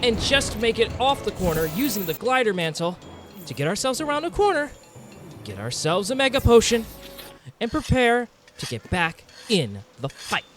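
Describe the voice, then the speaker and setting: A man's voice: sits high, big pitch swings, normal speed. A man telling the story of a video game hunt as a narrator, with dramatic, suspenseful phrasing. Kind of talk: dramatic storytelling narration over monster-hunting gameplay. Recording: music behind the voice.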